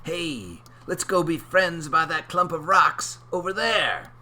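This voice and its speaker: A man voicing a fast, friendly young fish in a storybook reading, eager and upbeat. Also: on the high side, animated, bright, forceful tone, slight background noise, voice pushed up, full character voice.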